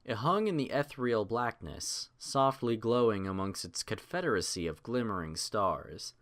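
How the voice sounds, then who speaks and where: A man with a lowish voice narrates a scripted story. His delivery is measured and theatrical, with a lively, wide-moving intonation suited to an audiobook.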